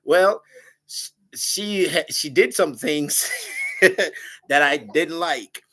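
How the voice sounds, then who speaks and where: A man's voice: a little high, drawn-out words, a little hiss or hum. Man telling a breakup story, big voice. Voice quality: big loud voice. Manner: animated, laughing, quotes himself in raised voice. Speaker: dating show guest. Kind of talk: casual storytelling chat.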